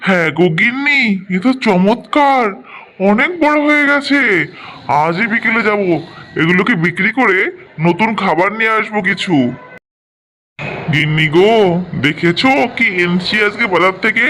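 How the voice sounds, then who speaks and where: A man's voice: sits high, moderate pace, long pauses, bright, forceful tone, a little hiss or hum. A man with a Bengali accent playing a humble, good-natured poor farmer and devoted husband in a cartoon, folksy and agreeable. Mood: excited. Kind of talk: cartoon voice acting.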